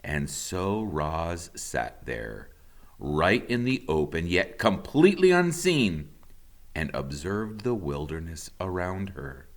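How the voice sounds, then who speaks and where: A man reading a children's storybook aloud expressively, with deliberate dramatic emphasis and hushed drops. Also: low-pitched, sing-song.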